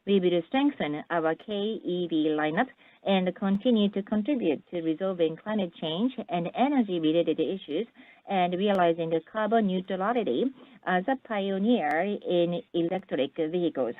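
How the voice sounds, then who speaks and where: Low-pitched woman on a tinny phone line. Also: moderate pace, dry recording. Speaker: corporate earnings call presenter. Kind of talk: scripted business presentation.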